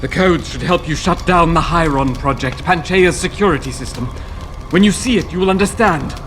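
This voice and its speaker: A man's voice: fairly high pitch, natural voice. A man playing a grave, guilt-torn old tech visionary. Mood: earnest. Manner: measured, grave, erupts into anguished shouting. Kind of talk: video game dialogue. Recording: roomy game audio with music.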